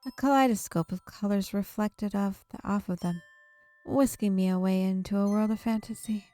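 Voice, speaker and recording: A woman's voice: medium pitch, fairly even intonation, moderate pace, mellow. Woman reading a story aloud over music. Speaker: streamer reading a visual novel aloud. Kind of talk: expressive scripted narration, read aloud. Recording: background music under the voice. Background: game music.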